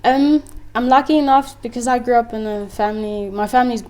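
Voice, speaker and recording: A woman's voice: on the high side, a little fast, darkish tone. Young congolese woman, french native, casual guest chat, laughs a lot, says um a bunch. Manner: candid, laughs often, frequent fillers.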